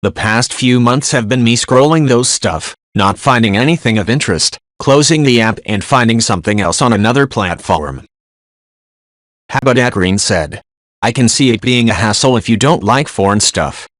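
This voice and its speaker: A man reading forum posts aloud in an even read-aloud delivery, saying symbols out literally. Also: mid-range voice, moderate pace, dry recording, studio quality.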